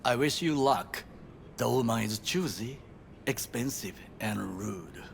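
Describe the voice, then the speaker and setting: An actor playing a grave, proud middle-aged Japanese ex-bodyguard in a video game, speaking formal, clipped English with a Japanese accent. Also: male voice, mid-range voice, lively intonation, slow, gravelly, slight background noise. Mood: stern, guarded. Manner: formal, clipped, stern phrasing. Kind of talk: video game dialogue acting.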